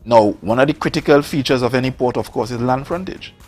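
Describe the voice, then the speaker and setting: A man from Tobago with a Trinidad and Tobago accent, making a forceful political case on a morning talk show and repeating words for emphasis. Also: mid-range voice, fairly quick, soft background music. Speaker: politician, guest.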